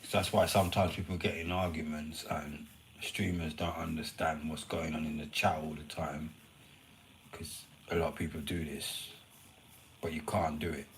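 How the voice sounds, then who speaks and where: A man's voice: very deep voice, flat delivery, normal speed, slight background noise, low-quality recording. A man casually chatting and rambling to his viewers on a live stream, swearing now and then. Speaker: livestreamer.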